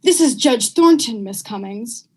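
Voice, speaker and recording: A woman's voice: fairly high pitch, animated, a little slow, slightly rough. A young woman playing a demanding, jealous middle-aged wife in a vintage radio drama, with an imperious, nagging manner. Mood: curt. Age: young adult.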